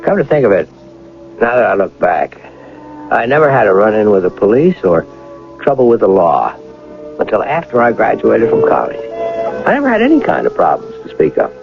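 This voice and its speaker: Intense young rich man, creaky voice. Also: on the low side, huge pitch swings, fairly quick, exaggerated voice. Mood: breezy, reflective. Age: young adult. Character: embittered wealthy young Ivy League man. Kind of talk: radio drama acting and first-person narration. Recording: dull old radio broadcast with music.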